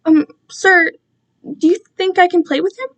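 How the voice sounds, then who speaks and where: A woman's voice: extremely high pitch, steady tone, moderate pace, fairly bright tone, highs cut off, voice pushed up. A woman voicing an innocent, obedient captive boy in a story, polite and timid like a child. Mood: timid, hopeful.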